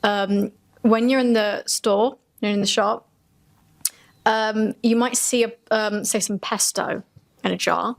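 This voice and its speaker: A woman's voice: mid-range voice, fairly even intonation, takes it slowly, creaky. A woman co-hosting a podcast, talking in a casual, conversational style. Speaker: podcast co-host. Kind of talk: casual chat.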